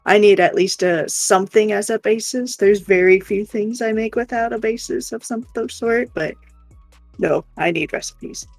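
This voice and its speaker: Chatty woman on a stream, kinda gravelly, dry close sound, music behind her. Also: mid-range voice, normal speed, no room sound. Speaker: livestream co-host. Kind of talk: casual chat.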